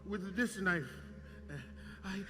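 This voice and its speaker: An actor with a West African accent playing a humble, nervous servant in a stage tragedy, halting and stammering as he pleads. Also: high voice, steady tone, background noise. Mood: hesitant. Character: nervous, humble male villager, a hunter's servant under questioning. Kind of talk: projected stage tragedy acting. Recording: echoing theatre hall.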